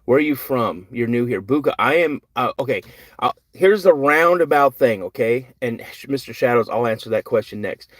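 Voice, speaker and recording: A man's voice: medium pitch, expressive, normal speed, no room sound. An older man hosting a live podcast, reading and answering chat questions in a rambling, earnest, self-correcting way. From United States. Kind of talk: casual live-stream chat.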